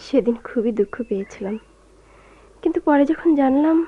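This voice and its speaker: A woman's voice: high-pitched, animated, fairly quick, husky. An actress playing a sorrowful, loyal young woman in a Bengali radio drama, with plaintive, emotional delivery. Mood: subdued. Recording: tinny, noisy lo-fi radio recording.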